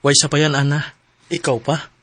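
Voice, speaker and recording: A man's voice: a little high, monotone, normal speed, a bit bright. An actor playing an earnest young man in a radio drama. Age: young adult. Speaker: boyfriend Rob.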